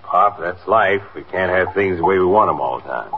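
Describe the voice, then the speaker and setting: An actor playing an easygoing, weary, kindly police detective in a 1950s radio drama, casual and offhand. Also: male voice, low voice, animated, normal speed, slight background noise. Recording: dull, lo-fi 1950s radio recording.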